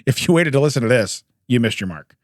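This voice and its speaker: A man, a podcast co-host, telling odd news stories in a casual, jokey way with chuckles and throat-clearing. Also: medium pitch, expressive, fast talker, no room sound, studio quality.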